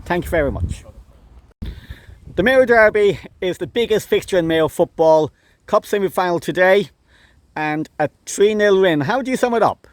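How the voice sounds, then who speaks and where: A man with an Irish accent interviews players and coaches after a match. His voice sits high, and he pauses often with "um". He reads out results and names in a plain, unhurried way. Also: expressive, no echo. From Ireland. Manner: hesitant, many "um"s. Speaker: club interviewer. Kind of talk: post-match interview questions.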